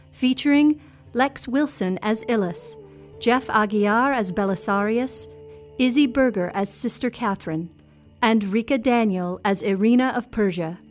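A woman reading scripted credits as an announcer. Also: a little high. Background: faint music.

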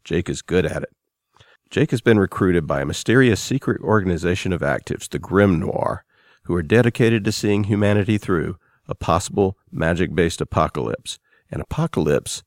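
Low voiced guy, podcast host reading a scripted intro, dry close sound. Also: normal speed, dry recording. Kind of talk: scripted narration.

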